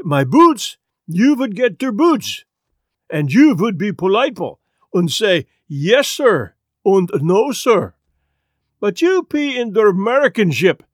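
Guy doing a proud old swedish sailor, heavy swedish accent, bossy and blustery, high voice. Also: no room sound, clean studio sound, exaggerated voice. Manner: thick put-on accent, blustering.

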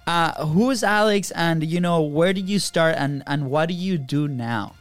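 High-voiced upbeat podcast host man. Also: moderate pace, soft background music. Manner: upbeat, laughs often, many fillers. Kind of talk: casual podcast hosting.

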